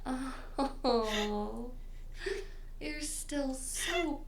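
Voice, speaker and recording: Woman acting menacing female villain, high pitch, unhurried pace, mock-tender, theatrical, playfully cruel, audio drama. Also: echoey room, a little hiss or hum, full character voice. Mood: taunting. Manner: taunting, theatrical, mock-tender, sliding into whispers.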